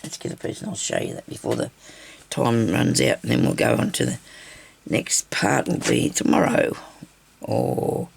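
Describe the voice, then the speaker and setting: Older woman, raspy and hoarse, lively sing-song delivery, chatty craft host. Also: very deep voice, normal speed. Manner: chatty, rambling asides while stitching. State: hoarse with a bad cough. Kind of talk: casual sewing tutorial chat. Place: home craft table. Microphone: overhead camera on her hands.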